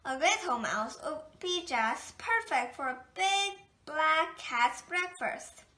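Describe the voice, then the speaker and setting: A woman reading a children's picture book aloud in a gentle, unhurried storytelling manner. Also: high-pitched, animated. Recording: echoey room.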